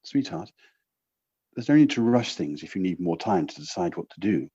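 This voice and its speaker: Husky, lively-pitched caring man played by an actor. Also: normal speed, full character voice. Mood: concerned, affectionate. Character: caring, concerned male partner. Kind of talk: naturalistic audio drama acting. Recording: dull lo-fi personal-device recording.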